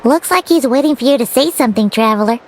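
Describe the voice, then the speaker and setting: A woman voicing a small, perky, chatty fairy girl companion in a video game, with a squeaky childlike voice and exclamatory manner. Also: expressive, fast, slight background noise, lightly acted. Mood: puzzled, chiding. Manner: exclamatory, speaks of herself in third person. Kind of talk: animated video game character acting.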